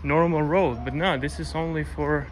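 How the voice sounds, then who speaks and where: A man's voice: fairly high pitch, fairly even intonation, normal speed. A young man chatting casually as a travel vlogger, thinking aloud about his route while outdoors. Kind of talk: casual travel vlog narration. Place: outdoors by a town and lake. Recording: loud outdoor noise, traffic and wind.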